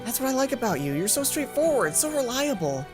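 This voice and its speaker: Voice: medium pitch, sing-song, fairly quick, music in the background, pitched above the performer's own voice, close to an everyday voice. A performer voicing an anxious, eager schoolgirl in a visual novel game, earnest and smitten.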